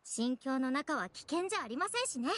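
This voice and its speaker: A woman's voice: high voice, sing-song, brisk, fairly bright tone, slight background noise. A woman voicing a polite, apologetic young female guide and cheerful mascot in an anime game, explaining things earnestly. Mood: explaining, reassuring.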